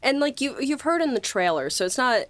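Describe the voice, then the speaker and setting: A woman co-hosting a podcast in casual conversation, animated and acting out bits of dialogue as she talks. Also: sits high, brisk, no room sound. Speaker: podcast co-host and writer.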